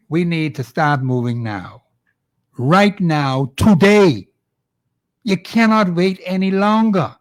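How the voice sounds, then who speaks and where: Bahamian guy hosting call-in radio, preachy and fired up, kinda high voice. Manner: fiery, exhorting, repeats phone number slowly. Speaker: radio talk show host.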